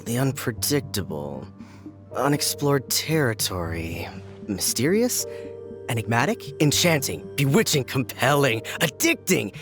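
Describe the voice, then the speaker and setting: Wildly animated, lovestruck goofy soldier. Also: male voice, medium pitch. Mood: dreamy, melodramatic. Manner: theatrical, drawn-out musing. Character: lovestruck, goofy, melodramatic young male soldier. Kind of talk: video game character dialogue. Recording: music behind the voice.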